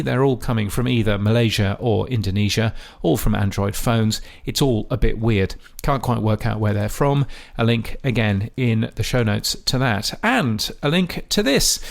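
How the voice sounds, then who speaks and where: A man with a gravelly edge to his mid-pitched voice delivers scripted podcast commentary. His delivery is measured and dry. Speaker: podcast host. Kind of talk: scripted radio-industry commentary.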